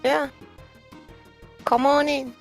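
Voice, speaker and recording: A woman's voice: sits high, flat delivery, extremely slow, gravelly, faint music behind. A woman chatting casually on a speedrun stream, telling stories with lots of fillers and giggles.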